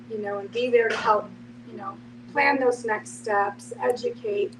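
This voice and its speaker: A woman speaking deliberately, phrase by phrase, as a panelist in an informal discussion. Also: mid-range voice. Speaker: panelist, end-of-life guide. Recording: distant mic, noisy humming room.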